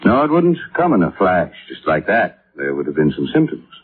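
A reflective American physician in an old radio drama. His low, husky voice swings widely in pitch as he weighs his words. The recording is muffled and narrow, like vintage radio. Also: wildly swooping pitch, full character voice. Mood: explaining, measured. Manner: deliberate, reflective, hesitant asides. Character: thoughtful, educated male physician, measured and reflective. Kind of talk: 1950s radio drama acting.

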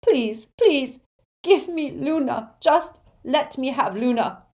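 A woman's voice: slow pace, darkish tone. A woman voicing a frightened, desperate father wizard, pleading tearfully in an audiobook. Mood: sobbing, frantic. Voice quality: high, tearful, wailing.

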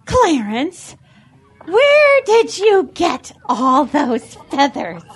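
Lady doing a motherly barnyard animal, super high lilting voice, calming and preachy, cartoon radio play. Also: drawn-out words, heavily acted. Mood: soothing, concerned. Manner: earnest, pleading, moralizing. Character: sensible motherly female farm animal, calming, pious. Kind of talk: children's animal audio drama acting.

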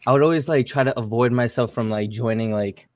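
A young man chatting casually, slangy and halting, leaning heavily on the word like. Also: mid-range voice, no room sound. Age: young adult. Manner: slangy, halting, heavy use of like. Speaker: participant in a group call. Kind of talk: casual chat.

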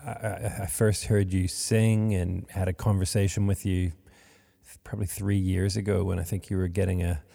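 A man hosting a podcast interview, speaking haltingly with many fillers, recorded in a monastery room. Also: deep voice, flat delivery, creaky, mellow, in a large hall. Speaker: podcast host.

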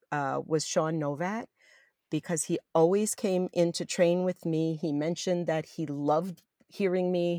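A middle-aged woman with a deep voice for a woman, fondly and enthusiastically reminiscing in an interview. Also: darkish tone, dry recording, studio quality. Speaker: interviewee, former college radio jazz announcer. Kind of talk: reminiscing in an oral history interview.

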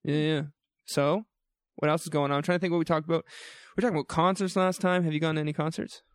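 A man's voice: on the high side, fairly even intonation, no room sound, studio quality. Jokey young man with a maritime lilt. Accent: New Brunswick. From New Brunswick, Canada. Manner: jokey, playful, quick asides. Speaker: podcast co-host. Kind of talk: casual chat.

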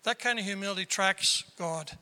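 A man from South Dakota preaching a sermon as pastor, with a squeaky tenor voice and emphatic delivery that climbs into sudden loud bursts. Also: normal speed. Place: large church hall. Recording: reverberant hall.

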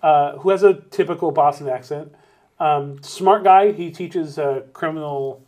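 A man, originally from Massachusetts and raised in California, co-hosting a podcast in quick, swearing, animated casual chat. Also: on the high side, dull audio. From Lowell, Massachusetts, raised in California. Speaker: podcast co-host.

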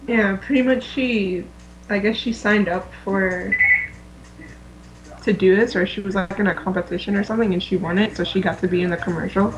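A woman with a mid-pitched voice co-hosts a podcast in casual, conversational style. Other voices can be clearly heard in the background behind her. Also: fairly even intonation, moderate pace, highs cut off, a crowd in the background. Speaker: podcast co-host. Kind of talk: casual chat.

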